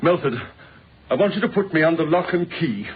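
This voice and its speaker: An actor playing a distraught British novelist in an old radio drama, agitated and stammering, with a strained, husky voice. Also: male voice, fairly high pitch, expressive, fairly quick. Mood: frantic, desperate. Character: distraught, confused male novelist confessing to murdering his wife. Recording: muffled old radio recording.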